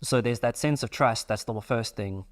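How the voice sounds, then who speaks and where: A man's voice: mid-range voice, moderate pace. A young man from South Africa hosting a casual podcast interview, explaining his ideas at length. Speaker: podcast host.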